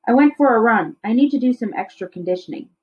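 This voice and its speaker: A woman's voice: a little high, animated, fast talker, lo-fi sound, lightly acted. A woman voicing a driven, earnest teenage brother in a storybook reading. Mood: determined. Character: hard-working, driven teenage brother, a freshman athlete.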